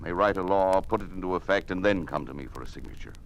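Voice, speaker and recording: A man's voice: low voice, fast. An American man acting the part of a proud, defiant, sardonic state governor in an old radio drama, firing indignant questions. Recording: noisy old-time radio recording.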